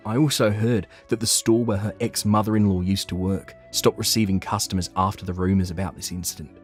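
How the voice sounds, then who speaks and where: An earnest young office worker tells his own story in a manga dub voiced by a man. His voice is low, with an anxious edge to the narration. Soft music plays behind him. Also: steady tone, fairly quick, natural voice. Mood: narrating, sympathetic.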